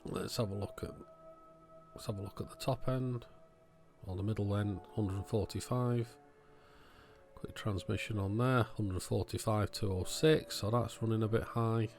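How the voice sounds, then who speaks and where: A man with a fairly low voice talks casually through a hands-on hobby task. His delivery is unscripted and matter-of-fact, as if thinking aloud, with some music in the recording. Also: fairly even intonation, moderate pace, a little mellow. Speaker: electronics hobbyist video host. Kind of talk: casual hands-on unboxing and testing commentary.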